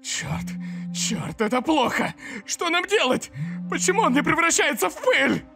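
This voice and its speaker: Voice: sits high, sing-song, measured pace, vocal fry, music in the background, heavily acted. An actor playing a desperate, panicking monster with a frantic manner in a comic dub. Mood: panicked.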